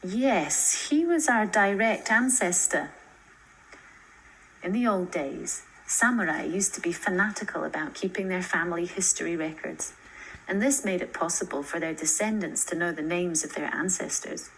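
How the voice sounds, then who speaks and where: A woman's voice: on the low side, brisk, a bit bright, slight background noise, rough recording. A woman reading a scripted story aloud as its narrator.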